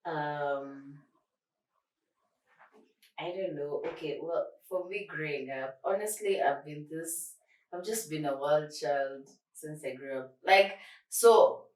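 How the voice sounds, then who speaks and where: A young woman chats on a podcast in an animated, rambling way, often breaking into laughter. Her voice sits a little low. The sound is roomy and set back from the mic. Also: slow. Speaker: podcast co-host. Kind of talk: casual chat. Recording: echoey room, far from mic.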